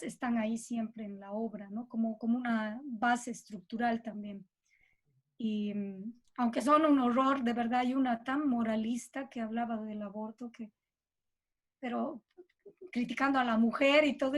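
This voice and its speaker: A woman with a Bolivian accent speaking informally and reflectively as a composer being interviewed. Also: on the high side.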